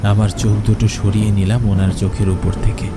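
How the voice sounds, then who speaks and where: A man's voice: lowish voice, fairly quick. A man giving a theatrical first-person narration of a horror story. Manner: expressive, theatrical. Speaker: narrator and lead character. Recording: loud train noise behind.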